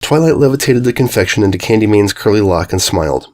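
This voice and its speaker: Expressive male storyteller with animated pitch. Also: fast talker. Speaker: fan fiction narrator. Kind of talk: expressive scripted story narration.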